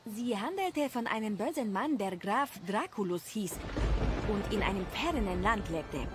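A woman playing a bookish young villager who speaks in a gentle, reflective way. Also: mid-range voice, lively intonation, a little slow, faint music behind, natural voice. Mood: thoughtful.

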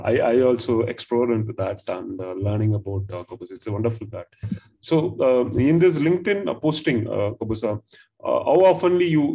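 A man hosting a video call interview, asking questions haltingly with many fillers. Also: medium pitch, darkish tone. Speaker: interview host.

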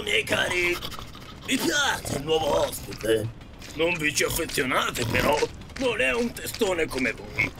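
A man's voice: fairly high pitch, creaky, background noise, heavily acted. An actor playing a jolly, sardonic ferryman of the dead who teases passengers in an audio drama. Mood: jovial, mocking. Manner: teasing, theatrical, drawn-out.

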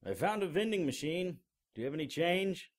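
An actor playing a wisecracking, unflappable paranormal investigator in an audio drama, with a dry, sarcastic delivery. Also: male voice, fairly high pitch, big pitch swings, a little fast, dry recording. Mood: calling out. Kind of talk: audio drama dialogue and first-person noir narration.

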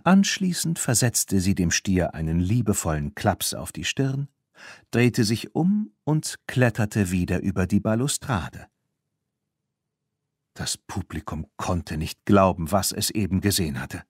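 A man narrating a children's audiobook, reading the scripted story in an expressive storytelling manner. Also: deep voice, unhurried, breathy edge, no echo, clean studio sound. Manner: expressive storytelling with voiced dialogue.

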